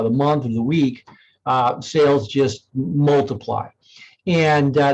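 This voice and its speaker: A man presenting an informal software demo in a conversational, explanatory way, thinking aloud as he goes. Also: a little high, lo-fi sound. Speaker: software demonstrator.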